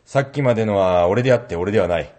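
A man's voice: fairly low pitch, very fast, a little mellow, natural voice. A man in an amateur radio drama session, talking apologetically between takes.

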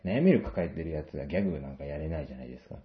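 A man speaks in a very low voice as a guest in a casual interview. He is self-deprecating and jokey, feeling his way through explanations. The sound is roomy and somewhat dull. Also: lively intonation, racing. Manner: self-deprecating, hesitant, joking. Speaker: guest, stage director and actor.

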